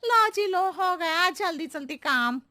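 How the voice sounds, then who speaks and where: Comic cartoon maid, shrill and squeaky-high, unhurried, roundabout, riddling, stubborn, close dry sound. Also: female voice, animated, pushed and bright, no room sound, exaggerated voice. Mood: blithe, defensive. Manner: circuitous, riddling, wheedling. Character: muddled, roundabout-talking housemaid, stubborn and cheeky. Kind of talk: exaggerated Hindi cartoon comedy acting.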